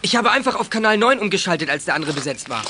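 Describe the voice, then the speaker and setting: A man's voice: sits high, sing-song, racing, bright, forceful tone, a little hiss or hum, exaggerated voice. A male performer playing a proud, polite young boy who loves CB radio, in a children's radio play. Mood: excited, proud.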